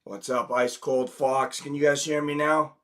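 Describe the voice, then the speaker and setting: A man's voice: medium pitch, flat delivery, unhurried. A man with a slight head cold, hosting a casual live stream, greeting viewers in the chat and reading a news article aloud. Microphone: desk microphone.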